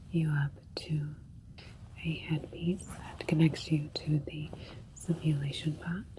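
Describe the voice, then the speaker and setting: A calm alien doctor, performed by a woman, speaks in a soft, mellow whisper for a sci-fi ASMR roleplay. The delivery is slow, breathy and methodical, like a soothing clinician. A faint spaceship ambience sits behind the voice. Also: sits low, slight background noise, poor audio.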